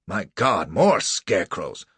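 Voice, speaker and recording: A man's voice: low voice, very animated, slow pace, fairly bright tone, slightly rough, dry recording, full character voice. A man voicing a blustering, stout older male judge who splutters with indignation. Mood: outraged.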